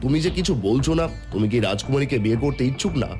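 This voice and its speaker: Man acting a lively, declamatory fairy-tale king. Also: mid-range voice, rapid-fire, music in the background, close to an everyday voice. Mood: deliberate, gracious. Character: anxious, grateful, fair-minded fairy-tale king. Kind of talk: dramatic Bengali cartoon dubbing.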